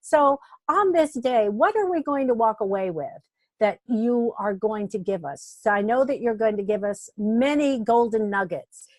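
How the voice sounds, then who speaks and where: A woman's voice: fairly high pitch, lively intonation, normal speed, a little mellow, no room sound. A woman interviewing a guest, enthusiastic and affirming, often repeating words as she builds her questions.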